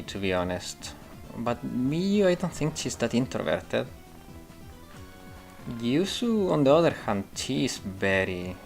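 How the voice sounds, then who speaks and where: A man's voice: mid-range voice, darkish tone. Man chatting while gaming. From Italy. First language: Italian. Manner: rambling, thinks aloud with restarts and fillers. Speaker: streamer. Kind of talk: casual gameplay commentary and chat replies.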